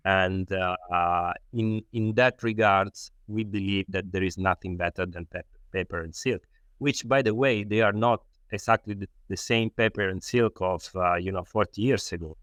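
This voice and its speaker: A man's voice: sits low, normal speed, a bit bright, clear, resonant tone, dry recording. A man with an Italian accent, a non-native English speaker, giving explanatory interview answers and often searching for words. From Italy. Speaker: loudspeaker designer, interview guest.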